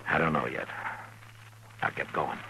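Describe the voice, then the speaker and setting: An actor playing a stern, steady frontier marshal in a 1950s radio western, terse and authoritative. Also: male voice, extremely low pitch, unhurried, slight background noise, heavily acted, low-quality recording. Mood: low, intent. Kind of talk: 1950s radio western acting and first-person narration. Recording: vintage 1950s radio recording with music.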